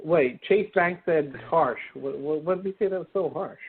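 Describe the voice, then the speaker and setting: A man's voice: a little high, normal speed, breathy edge. A man co-hosting a casual sports podcast, joking and loose, often trailing off and restarting. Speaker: podcast co-host. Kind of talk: casual sports call-in chat. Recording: tinny phone-like line with faint hiss.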